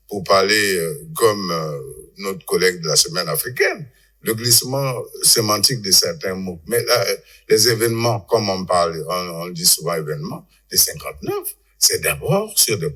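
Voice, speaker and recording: Male panel guest, lowish pitch, halting unscripted analysis with repeated words. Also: pushed and bright.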